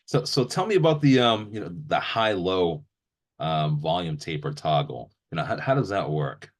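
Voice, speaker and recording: Very deep-voiced man interviewing casually. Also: expressive, moderate pace. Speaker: interviewer and gear reviewer.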